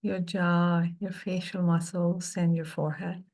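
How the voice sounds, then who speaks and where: Slow, soothing woman guiding meditation. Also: fairly low pitch, level tone. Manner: slow, soft and soothing, with long pauses. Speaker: meditation guide. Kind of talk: guided meditation.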